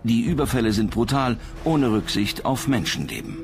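A man narrates a documentary in scripted style. His pitch is medium, and music plays clearly behind his voice. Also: wildly swooping pitch, moderate pace.

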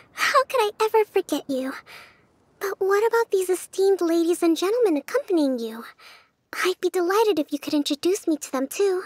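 A woman voicing a gracious, composed young female general in a video game, with a soft, polite delivery. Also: very high voice, lively intonation, normal speed, no room sound. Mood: gracious, amused.

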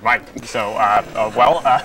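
A man in his thirties hosting a talk show in a halting, awkward way, often repeating what guests say. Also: fairly low pitch, a crowd in the background. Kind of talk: halting interview chat. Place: TV studio. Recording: noisy TV studio with loud audience.

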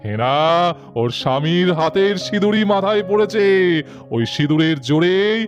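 Guy doing a wise cartoon holy man, highish voice, calm and measured. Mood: reassuring. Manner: measured, reassuring pronouncements. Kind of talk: cartoon voice acting. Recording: background music under the voice.

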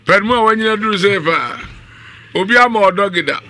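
A man's voice: high voice, fairly quick, bright, forceful tone. A middle-aged Ghanaian man hosting a live radio show, speaking close to a studio microphone. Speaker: radio host. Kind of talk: live radio talk show hosting with ad-libbed sponsor reads. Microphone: studio condenser mic on boom arm, up close.

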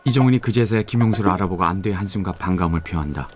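A man narrating a radio drama in a steady, descriptive way. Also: low voice, racing, slight background noise. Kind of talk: scripted narration. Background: background music.

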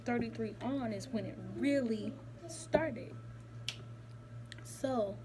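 A woman in her thirties talking casually to her phone camera in a small office, animated and chatty, repeating words for emphasis. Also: fairly high pitch, slow, crowd noise. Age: 30s. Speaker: vlogger. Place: small office room indoors. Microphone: phone camera held close.

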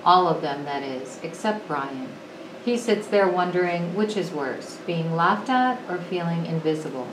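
Female storyteller, lower register, expressive picture-book read-aloud in an echoey room. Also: unhurried. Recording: roomy echo with clear background noise.

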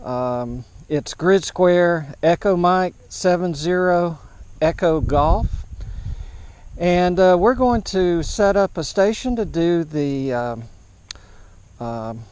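Slow, halting man with a higher-pitched voice. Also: lively intonation, darkish tone, clean tone. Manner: halting, hesitant, matter-of-fact. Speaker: amateur radio operator, vlog host. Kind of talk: casual outdoor setup vlog narration. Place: large open grassy park field, outdoors.

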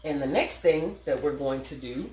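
An American woman talks through a home cooking demonstration in a casual, folksy way. Her pitch moves around a lot while her pace stays slow. The room rings around her, with clear noise behind. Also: animated, darkish tone. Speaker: home cooking show host. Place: indoors in a home kitchen. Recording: roomy, clearly noisy home recording with clicks.

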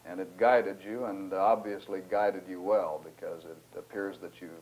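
An American man conducting a formal interview with deliberate, leading questions. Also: low-pitched, fairly even intonation, darkish tone, low-quality recording. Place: small wood-panelled room, across a table.

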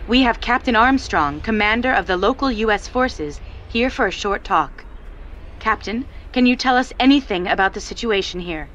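An actress playing a persistent, earnest war correspondent giving a formal television field report in a game. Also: female voice, mid-range voice, animated, normal speed, fairly bright tone, muffled recording, a little hiss or hum, natural voice. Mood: formal, probing. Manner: formal, clearly projected reporting. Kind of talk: TV news report in a game cutscene.

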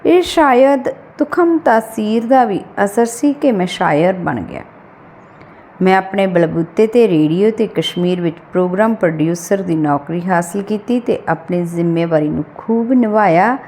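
A woman's voice: medium pitch, moderate pace, a little mellow, slight background noise. A woman reading a literary short story aloud as scripted audiobook narration.

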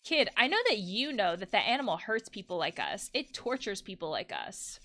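Reckless game party friend, read by a woman, swaggering and foul-mouthed, bright and animated delivery. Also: medium pitch, moderate pace, voice pushed down, exaggerated voice. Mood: goading, ranting. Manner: goading, emphatic, theatrical reading.

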